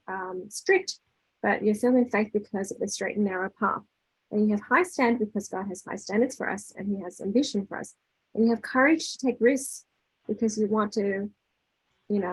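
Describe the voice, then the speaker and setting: A woman speaks in a mid-range voice with a slightly husky edge, recorded close and dry. She leads a spiritual talk in a casual, conversational way, slipping into bits of dialogue and often checking that listeners follow. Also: mid-range voice, no room sound, rough recording. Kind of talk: casual inspirational talk with anecdotes.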